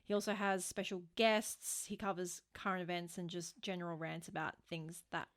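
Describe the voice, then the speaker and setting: A woman's voice: on the low side, flat delivery, moderate pace. A woman with an Australian accent chats casually and informally as a podcast co-host.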